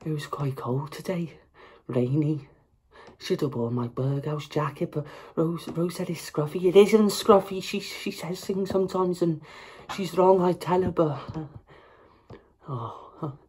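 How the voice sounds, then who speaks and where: An actor performs a grieving, literal-minded young person in a stage monologue. The voice is a little husky, and the phrasing is halting, earnest and repetitive. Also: mid-range voice, darkish tone. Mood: subdued.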